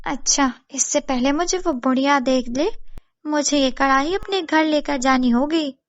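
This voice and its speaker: A woman's voice: sits high, unhurried, no room sound, muffled recording. A woman voicing a sly, greedy, envious young village woman in a storybook tale, exclaiming and gloating melodramatically. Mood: greedy, delighted.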